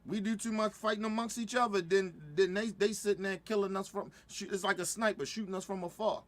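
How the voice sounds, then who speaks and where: A man's voice: sits high, fairly even intonation, a little fast. A middle-aged man giving heated, profane reaction commentary, repeating himself and restarting his sentences as he goes. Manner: heated, repetitive, stumbling restarts.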